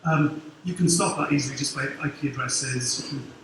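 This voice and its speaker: A middle-aged man from Wales with a Welsh accent, giving a quick, informal conference talk with dry humour from a lectern. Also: medium pitch, fairly bright tone, slight background noise. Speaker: conference speaker. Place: large dark conference hall. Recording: very echoey hall, distant mic.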